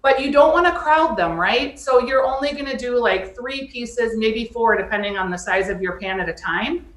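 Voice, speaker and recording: A woman's voice: fairly high pitch, expressive, normal speed, echoey room. A woman guest explaining how to cook chicken, practical and step by step. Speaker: cooking guest. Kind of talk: cooking tips.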